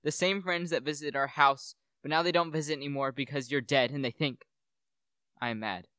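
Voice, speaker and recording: A teenage male student actor playing a brooding, obsessed widower in a radio play, with intense, halting, melodramatic delivery. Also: a little high, steady tone, pushed and bright, clean tone, no room sound, studio quality. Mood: bitter, paranoid. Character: brooding, obsessed widower addressing his dead wife.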